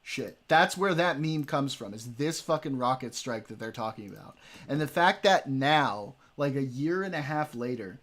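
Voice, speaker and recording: A man's voice: mid-range voice, animated, normal speed, hardly any pauses, fairly bright tone. A young adult man streaming, talking in a loose, joking, profane way while reacting to a debate. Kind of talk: casual livestream commentary.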